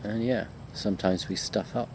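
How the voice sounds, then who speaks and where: Low-pitched man, chatty rambling lecturer. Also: steady tone, moderate pace, a little mellow, slight background noise, rough recording. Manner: self-deprecating, rambling and conversational. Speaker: university lecturer. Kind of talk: informal walking video chat to students.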